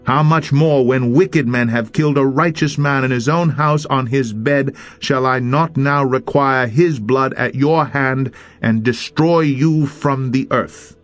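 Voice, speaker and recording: A man's voice: medium pitch, measured pace, hardly any pauses, soft background music, pitched above the performer's own voice, close to an everyday voice. A man voicing a stern, righteous ancient warrior king in a grave, declamatory scripture reading. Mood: indignant.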